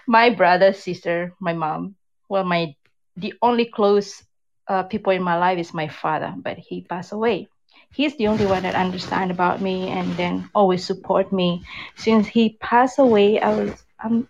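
A woman's voice: mid-range voice, darkish tone, highs cut off. A woman in her fifties from Indonesia with an Indonesian accent, chatting candidly as an interview guest, her English loose in grammar. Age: 50s. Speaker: guest, relationship advice creator. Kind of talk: casual interview chat.

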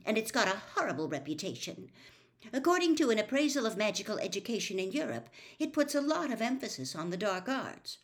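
A bossy, know-it-all teenage girl in a storybook speaks in a raised, precise, matter-of-fact voice, as a woman narrator puts her on. Her pitch leaps around. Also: very animated, normal speed, fairly bright tone.

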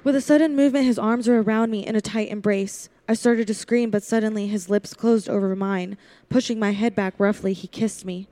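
A woman's voice: mid-range voice, steady tone, fairly quick, mellow, no echo, natural voice. A woman playing a frightened young heroine who recounts her ordeal in old-time radio play narration. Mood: tense, frightened.

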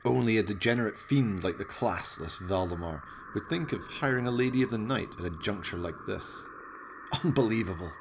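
A man's voice: on the low side, expressive, fairly quick, vocal fry, background noise. A man narrating a comic horror radio play as scripted storytelling, in a melodramatic, mock-suspenseful manner.

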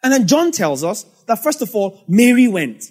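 High-voiced Nigerian pastor preaching. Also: male voice, big pitch swings, moderate pace, darkish tone. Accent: Nigerian English. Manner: conversational storytelling with asides. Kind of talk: sermon.

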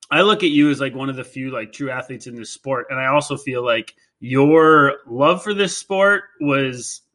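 A man in his thirties with a Midwestern American accent and a bright, forward voice. He leads a casual podcast interview. His talk rambles through fillers and false starts. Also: mid-range voice. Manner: rambling, hesitant, filler-heavy.

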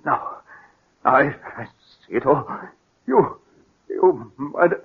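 Husky, guilt-ridden radio-drama man. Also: fairly high pitch, expressive, slow, heavily acted. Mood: shocked, dying. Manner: tense, earnest, dramatic. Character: guilt-ridden, defiant man caught in a murder plot. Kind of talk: 1940s radio mystery acting. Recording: dull, lo-fi 1940s radio recording.

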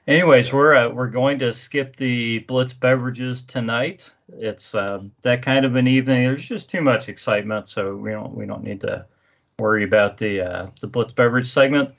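A man hosts a podcast in a mid-pitched voice, rambling, chatty and loose. The audio is dull and lo-fi, with the highs muted. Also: moderate pace, creaky, a bit bright. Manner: rambling, many fillers and restarts. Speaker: podcast host. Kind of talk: casual podcast chat.

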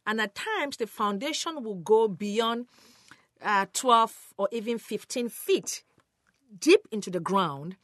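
A woman's voice: on the high side, animated, slow pace, a bit bright, no room sound, studio quality. A woman teaching the Bible in a sermon style, emphatic and earnest, speaking directly to her listeners. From Cameroon, West Africa.

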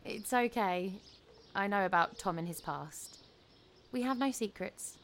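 A woman's voice: mid-range voice, lively intonation, measured pace, a little hiss or hum. An actress playing a polite, soothing young doctor and supportive girlfriend in an audio play.

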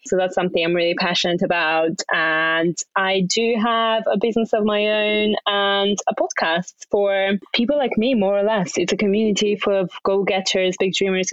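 A woman's voice: on the low side, no room sound, lo-fi sound. A young woman from Bulgaria, a podcast guest chatting in English as a second language, upbeat and laughing often between phrases. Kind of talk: casual interview chat.

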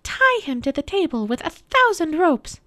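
A woman's voice: very high voice, big pitch swings, moderate pace, pushed and bright, no echo, studio quality, pitched above the performer's own voice, full character voice. A woman voicing a cruel, vengeful witch queen in a storybook reading, commanding and screechy. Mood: gloating. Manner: commanding, gloating.

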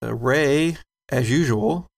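A man's voice: mid-range voice, slow, gravelly, a little hiss or hum. A man narrating a tabletop roleplaying game as game master, in a conversational, descriptive storytelling way.

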